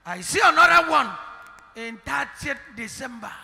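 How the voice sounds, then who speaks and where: A man with a Nigerian accent, a prophet preaching into a handheld mic in a large church hall, declaring and shouting in bursts. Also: very high voice, lively intonation, moderate pace, vocal fry, a little hiss or hum. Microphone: handheld microphone. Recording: long hall reverb, music behind.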